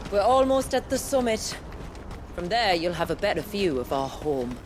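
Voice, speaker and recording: Fantasy village chieftain: lowish female voice, dignified, self-assured, game cutscene drama. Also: very animated, normal speed, a little hiss or hum. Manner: composed, dignified, formal. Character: proud, assured female leader of hidden village.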